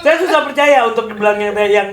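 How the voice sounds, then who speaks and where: A man's voice: high-pitched, a little fast, a bit bright. A man in casual chat, reacting to music, polite and apologetic, often laughing as he talks. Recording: echoey room with faint noise.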